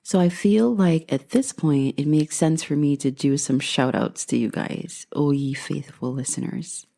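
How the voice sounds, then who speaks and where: Low gravelly-voiced woman podcaster from Guyana. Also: dark tone. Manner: grateful, upbeat, talks straight to listeners. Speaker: podcast host. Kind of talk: casual solo podcast monologue.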